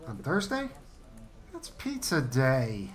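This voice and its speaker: A man's voice: medium pitch, huge pitch swings, takes it slowly. A man playing a naive, eager, meddling office buddy in a comedic audio play, guileless and nosy. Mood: eager, teasing.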